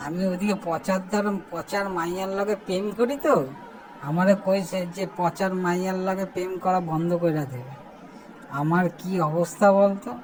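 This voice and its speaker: A woman's voice: lowish voice, steady tone, moderate pace, darkish tone, slight background noise, close to an everyday voice, poor audio. A woman playing a cheeky, put-upon young lad in an amateur comic cartoon, indignant and complaining in an eastern Bengali accent. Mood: grumbling.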